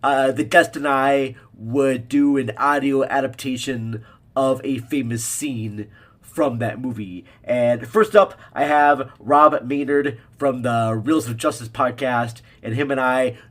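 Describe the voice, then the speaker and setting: Medium-pitched male podcast host. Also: takes it slowly, fairly bright tone. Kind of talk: podcast introduction.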